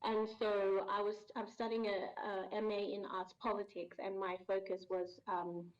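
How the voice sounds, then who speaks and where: Woman, 30s, interview guest; mid pitch, thoughtful with lots of fillers, muffled call audio. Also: little pitch movement, normal speed. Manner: thoughtful, hesitant, many fillers and restarts. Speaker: voice actress guest. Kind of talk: casual interview answers.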